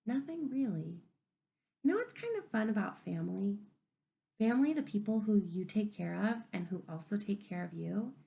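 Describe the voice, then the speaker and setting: Woman, kindergarten teacher, picture-book read-aloud, animated intonation, noticeable vocal fry, question-asking manner. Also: medium pitch, moderate pace.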